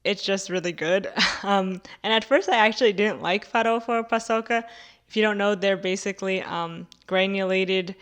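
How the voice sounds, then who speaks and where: A woman's voice: mid-range voice, moderate pace. A young American woman talking casually to her viewers in a conversational way, dropping in filler words like "like" and "you know". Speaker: video creator answering viewer questions.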